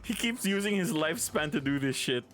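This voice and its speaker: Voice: medium pitch, lively intonation, a little fast. A gaming streamer giving casual let's play commentary in an amused, wry, chatty way. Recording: faint game music behind.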